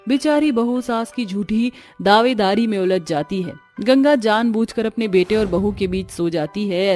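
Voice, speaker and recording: A woman's voice: mid-range voice, a little mellow. A woman reading scripted narration as the storyteller of a family tale.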